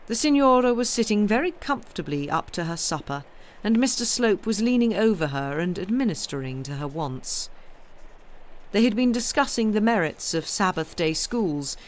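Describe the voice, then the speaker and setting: A woman reading literary fiction aloud as an expressive audiobook narrator. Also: mid-range voice. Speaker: audiobook reader.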